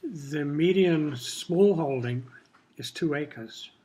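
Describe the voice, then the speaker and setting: An older man from Kenya whose first language was Swahili, speaking in a measured, reflective way while answering questions in an interview. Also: a little high, warm tone, husky. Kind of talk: casual interview talk.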